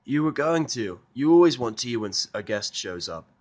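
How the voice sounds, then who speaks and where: A man's voice: mid-range voice, big pitch swings, moderate pace, close to an everyday voice. A man voicing a helpful young male sidekick in a pony cartoon story, chipper and matter-of-fact. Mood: knowing.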